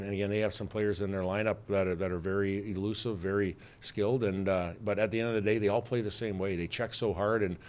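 A middle-aged head coach with a low, deep voice talks to reporters into a desk mic in a press room. He speaks plainly, circling back with fillers and false starts as he sizes up his team. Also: darkish tone. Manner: plainspoken, many fillers and restarts. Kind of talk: postgame press conference answers. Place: press room with sponsor backdrop. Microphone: desk mic on stand.

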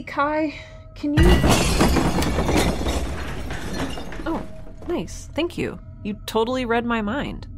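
Woman as slow, halting young space scientist. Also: sits high. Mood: concerned, reassuring. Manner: halting, conversational, with sighs and self-corrections. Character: young female space scientist narrating a log, flustered, kindhearted, geeky. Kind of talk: audio drama acting, informal recorded log.

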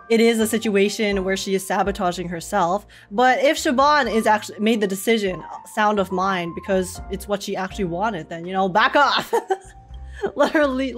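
A woman giving casual reaction commentary as she plays a video game, chatty and thinking out loud. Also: medium pitch. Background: game music.

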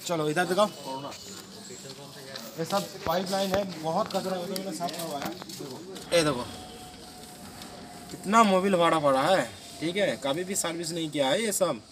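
Chatty Kolkata mechanic, garage noise. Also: male voice, fairly high pitch, normal speed, long pauses. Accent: Kolkata Hindi. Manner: repeats "dekho" and "theek hai" while showing his work. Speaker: car mechanic. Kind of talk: hands-on repair walkthrough. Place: outdoor garage workshop. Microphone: phone camera held while working. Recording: phone audio with garage noise.

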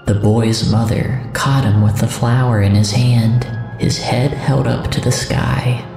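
A man narrating a scripted audio drama in a solemn, deliberate storytelling manner. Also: mid-range voice, fairly even intonation, vocal fry, mellow. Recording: echoey, with music underneath. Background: music.

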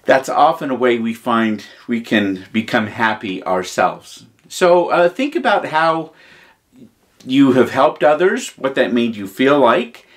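A man's voice: mid-range voice, fairly bright tone. A man in his fifties, a priest hosting a children's story time, talking informally and devotionally in simple short phrases. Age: 50s. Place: small furnished room at home, indoors.

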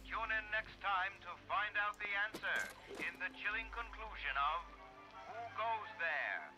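A man playing a suave, spooky host of an old-time thriller radio show, speaking in a dramatic, deliberate way. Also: sits high, lively intonation, music in the background. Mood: ominous. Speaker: announcer.

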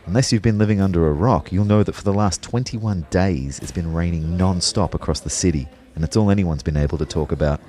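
A man's voice: low-pitched, brisk, hardly any pauses, mellow, natural voice. A man playing a wry young personal trainer who narrates his own life in an audio drama.

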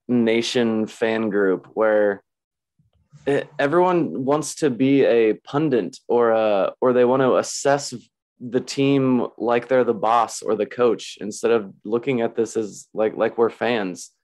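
A man hosting a casual fan podcast, his voice slightly raspy after a day of yelling, talking in an animated, rambling, self-mocking way. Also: mid-range voice. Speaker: podcast host.

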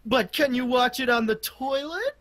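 A woman voicing a whiny, excitable fourteen-year-old boy in an improvised comic cartoon fan dub. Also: sits high, normal speed, crowd noise, close to an everyday voice. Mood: playful. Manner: whiny, exclaiming, often breaking into shouts.

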